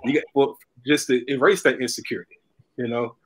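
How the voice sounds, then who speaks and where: A man's voice: mid-range voice, fairly even intonation, fairly quick, husky, poor audio. A man chatting casually as a guest on a video-call panel, rambling and repeating phrases for emphasis. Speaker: talk show guest, comedian.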